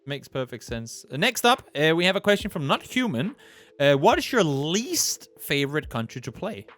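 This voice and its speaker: A man's voice: a little high, sing-song, normal speed, a bit bright, soft background music. A man hosting a casual interview, reading viewer questions and giving friendly, affirming replies. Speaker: host.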